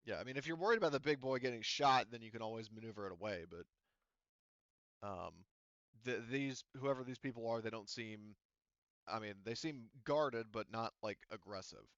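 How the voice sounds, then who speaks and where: A man running a tabletop roleplaying game as game master, casually narrating and thinking aloud in a halting way. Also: medium pitch, a bit bright, no echo, highs cut off.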